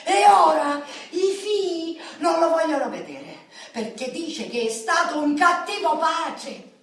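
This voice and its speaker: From far off in a heavily echoing hall comes a southern Italian woman, very shrill in pitch. She is plaintive and devoted, lamenting over her man in emphatic, theatrical radio-play dialect. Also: lively intonation, slow pace, exaggerated voice. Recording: very echoey hall, distant mic.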